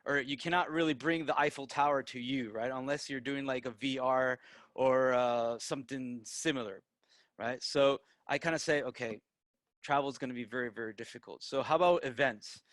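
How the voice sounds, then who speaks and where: Male presenter, American accent, mid pitch, dry recording, informal, tags points with "right?". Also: steady tone, normal speed, fairly bright tone, dry recording. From Peru, raised in the United States. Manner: frequently tags statements with "right?". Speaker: guest presenter, digital marketer.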